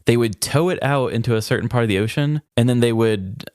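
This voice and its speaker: A man's voice: medium pitch, a little fast, a little mellow, clean tone, dry recording, clean studio sound. A man co-hosting a podcast in casual chat, with an informal, joking way of talking. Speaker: podcast co-host.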